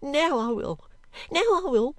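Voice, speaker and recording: A wretched young servant girl from a storybook. The voice is very high and close and dry, plaintive and pleading, anxious to please and easily frightened. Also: expressive, a little slow, dry recording. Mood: frightened, distraught. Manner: plaintive, pleading, breathlessly repetitive. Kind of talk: audiobook character acting.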